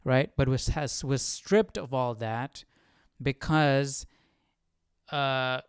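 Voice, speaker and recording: A man's voice: medium pitch, fairly even intonation, slow pace, clear, resonant tone, dry recording, clean studio sound. A man hosting a live Bible study stream, thinking aloud in casual, reflective commentary and checking in with listeners. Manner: thinking aloud, often asking "right?".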